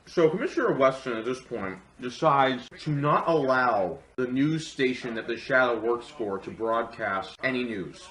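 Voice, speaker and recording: A man's voice: mid-range voice, lively intonation, unhurried, hardly any pauses, fairly bright tone. A man giving a comedic film review with sarcastic, theatrical delivery. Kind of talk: comedic scripted review commentary. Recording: echoey room.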